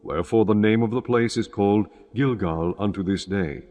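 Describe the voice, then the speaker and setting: A man's voice: on the low side, moderate pace, a little mellow, big-room sound, natural voice. A man voicing the Lord in a dramatized scripture reading, giving formal commands in archaic English. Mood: commanding. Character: the Lord, commanding divine male voice. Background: guitar music.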